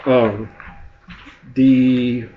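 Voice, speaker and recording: A man's voice: medium pitch, level tone, very slow. A man speaking as a member of the public at a government meeting, pressing officials with persistent, probing questions. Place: indoor public meeting room. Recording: muffled, noisy meeting-room recording.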